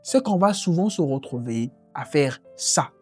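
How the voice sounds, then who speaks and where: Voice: medium pitch, expressive, a little slow, darkish tone, slightly rough, soft background music. A voice narrating a French-language lesson on learning English, earnest and explanatory. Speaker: language teacher.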